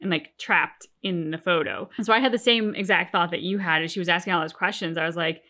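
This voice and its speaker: A woman's voice: fairly low pitch, quick pace, bright, forceful tone. A woman co-hosting a game reaction show, talking casually and discursively.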